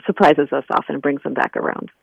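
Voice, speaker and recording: A woman speaking as an interview guest over a phone, conversational and reflective, pausing as she gathers her thoughts. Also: low-pitched, gravelly, no room sound. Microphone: telephone call. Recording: narrow, tinny phone line.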